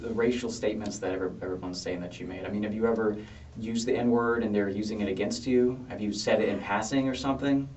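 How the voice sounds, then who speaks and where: A man's voice: lowish voice, fairly even intonation, quick pace, a little mellow, echoey room, a little hiss or hum. A man questioning a suspect as a police detective, asking blunt, direct questions in an interrogation room. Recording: muffled far-off interrogation room audio.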